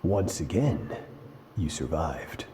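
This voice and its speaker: An actor playing a stern, merciless male master who speaks in slow, cold, measured commands. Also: a bass voice, animated, noisy background, heavily acted. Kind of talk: game voice acting.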